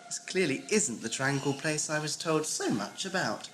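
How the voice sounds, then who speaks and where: A man's voice: on the high side, lively intonation, normal speed, noisy background, natural voice. A man playing a flippant, evasive young offworld traveller in an audio drama, with a wry, glib, nervy manner.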